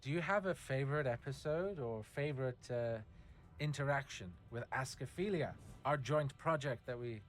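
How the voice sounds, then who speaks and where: A man's voice: medium pitch, moderate pace. A man hosting a livestream, briefly thanking guests and asking them casual questions. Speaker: livestream host.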